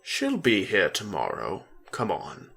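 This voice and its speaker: A man's voice: sits low, huge pitch swings, slow pace, gravelly, a bit bright, husky, exaggerated voice. A man reading the storybook lines of a cheerful, easygoing teenage schoolboy. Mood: breezy. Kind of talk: storybook dialogue in a dramatic reading.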